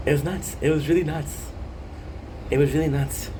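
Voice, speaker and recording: A man's voice: a little high, fairly even intonation, unhurried, background noise. A French Canadian man with a Québécois French accent, talking casually and ramblingly while streaming a game, with many fillers and restarts. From Quebec, Canada. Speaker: gaming streamer.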